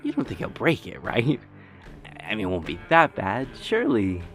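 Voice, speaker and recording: A man's voice: lowish voice, huge pitch swings, moderate pace, fairly bright tone, exaggerated voice. A man playing a nervous, hopeful companion in a fantasy audio roleplay drama. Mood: worried. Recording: plucked guitar music behind voice.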